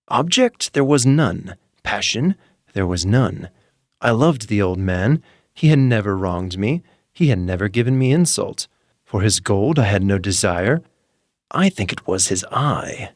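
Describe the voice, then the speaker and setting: A man reads a tale in a slow, intense, confiding voice, his low-set pitch swinging widely between hushed lines and sudden rises. The sound is close and dry, with no room around it. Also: vocal fry, no room sound. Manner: intense, confiding first-person telling with hushed drawn-out words. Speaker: narrator. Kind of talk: scripted dramatic reading of a classic tale.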